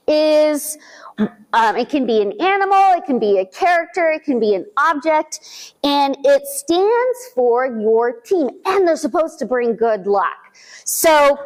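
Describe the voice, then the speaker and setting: A woman librarian leading a virtual storytime for small children, talking in an animated, sing-song way. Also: high voice.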